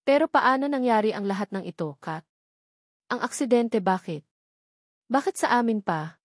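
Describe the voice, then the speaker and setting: A woman's voice: a little high, lively intonation, normal speed, no room sound, studio quality. A woman voicing a grieving, calculating man in an audio story, earnest and pleading as he feigns surrender. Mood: pained pleading.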